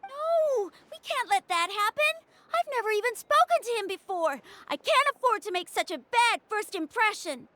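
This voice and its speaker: A woman playing an excitable, proud young child who is eager to impress, a childlike video game character. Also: extremely high pitch, moderate pace, a little hiss or hum, heavily acted. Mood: anxious.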